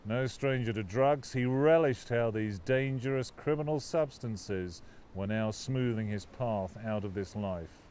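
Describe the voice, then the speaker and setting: A man reading his own novel aloud as scripted audiobook narration, with a dramatic, expressive literary delivery. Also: mid-range voice, a little slow, slight background noise.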